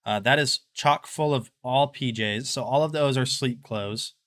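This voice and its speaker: A man's voice: mid-range voice, measured pace, fairly bright tone. A man in his twenties hosting a livestream, chatting casually and rambling while he walks through his home. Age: 20s. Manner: rambling, chatty, addresses chat. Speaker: livestream host. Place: home, walking through rooms.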